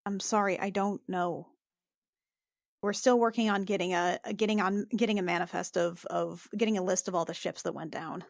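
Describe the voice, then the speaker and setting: A woman's voice: lowish voice, steady tone, moderate pace, vocal fry, no room sound, studio quality. A woman playing a tabletop game, speaking hesitantly and soothingly as her character. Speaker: player. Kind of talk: casual play in a tabletop game.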